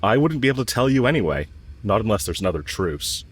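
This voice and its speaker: Man acting a low-voiced lovestruck villain. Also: very animated, husky. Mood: wry, tentative. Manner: hesitant, earnest, halting. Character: lovestruck male villain confessing to his enemy, yearning. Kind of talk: intimate audio roleplay acting.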